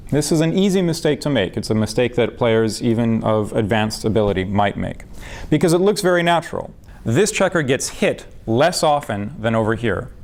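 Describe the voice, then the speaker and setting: A man's voice: mid-range voice, darkish tone. A man with an ordinary mid-range voice teaches a backgammon lesson. He works through the position patiently and methodically, with the occasional filler as he thinks. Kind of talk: explanatory game lesson.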